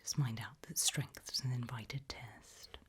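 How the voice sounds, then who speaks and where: A woman whispering an ASMR role-play straight to the listener in a breathy, measured, quietly ominous way. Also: extremely low pitch. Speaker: ASMR host playing a fear-test examiner.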